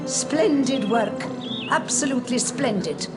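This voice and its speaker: A woman's voice: high voice, animated. An actress voicing a stern but fond older witch professor in a video game, prim and formal. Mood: approving. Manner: prim, formal, brisk schoolmistress. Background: loud music.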